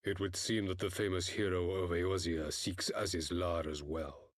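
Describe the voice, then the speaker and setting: A man playing an imperious fantasy emperor, very deep, dry close sound, grand archaic diction, measured, husky. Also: steady tone, no echo, natural voice. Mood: cold composure. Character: solemn, imperious male emperor, cold and unhurried. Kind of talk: scripted fantasy game cutscene acting.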